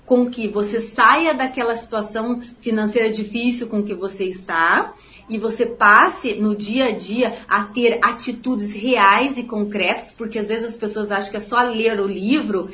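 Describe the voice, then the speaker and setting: Woman, author interviewee, motivational, high-ish pitch, echoey room. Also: fairly even intonation, measured pace, bright, forceful tone. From Paraná, Brazil. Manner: earnest, motivational, repeats phrases. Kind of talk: interview answers.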